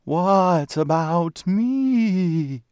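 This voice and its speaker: A man voicing a complaining ghost in a storybook, softly and eerily. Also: high-pitched, lively intonation, clean tone, dry recording, pitched above the performer's own voice, heavily acted. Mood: aggrieved. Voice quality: soft, slow, eerie.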